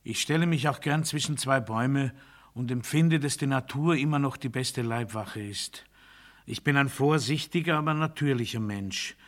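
An actor playing a pompous, paranoid new ruler in a radio play monologue, declaiming to his people in a sharp, forceful, theatrical voice that moves between proclamation and confiding. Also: medium pitch, normal speed, lightly acted. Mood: hushed, sinister calm. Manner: declamatory oratory, swinging from loud proclamation to hushed confiding.

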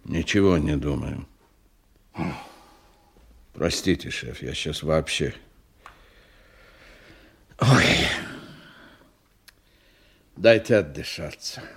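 Animated, evasive male agent. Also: a bass voice, takes it slowly, creaky, pauses often, husky, full character voice. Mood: weary, rattled. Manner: hesitant, deferential, defensive. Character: evasive male agent nervously reporting to his boss. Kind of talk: Russian radio drama acting, circa 1990.

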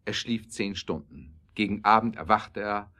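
A man's voice: on the low side, unhurried, sharp tone. A man reading scripted narration for a German audio drama.

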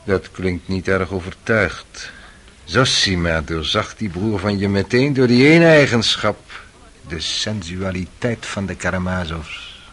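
A man's voice: deep voice, unhurried, a bit bright. An actor playing a cynical, worldly young man in a radio drama, sly and needling in manner. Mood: sardonic. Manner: sardonic, insinuating.

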